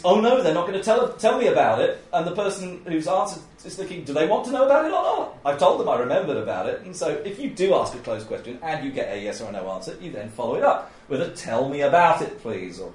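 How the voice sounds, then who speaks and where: A man with a loud voice giving an informal training talk on interviewing, chatty and often laughing as he acts out example questions. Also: a little high, very animated, fast talker, few pauses. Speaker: oral history trainer. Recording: echoey room.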